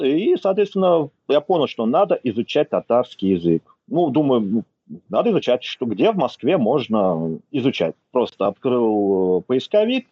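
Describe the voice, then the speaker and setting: Husky man with a lively voice. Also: medium pitch. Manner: lively, storytelling, thinks aloud. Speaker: podcast guest, Tatar language learner. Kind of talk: casual interview talk. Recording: dull lo-fi recording.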